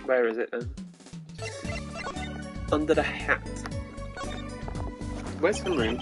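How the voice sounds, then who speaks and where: A woman's voice: rumbling low, takes it slowly, over music. A woman game streamer chatting casually as she plays. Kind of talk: casual chat while playing.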